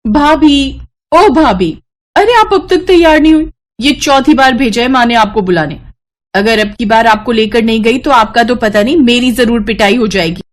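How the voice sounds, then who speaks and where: A woman voicing an eager, wide-eyed teenage girl with an excitable, chirpy manner. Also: fairly high pitch, normal speed, pitched above the performer's own voice, close to an everyday voice. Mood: impatient.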